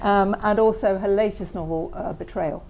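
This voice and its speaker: Woman, interviewer, mid-pitched voice, enthusiastic introduction, leading questions, live event. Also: steady tone, normal speed, creaky, very noisy. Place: live talk event before an audience at an office.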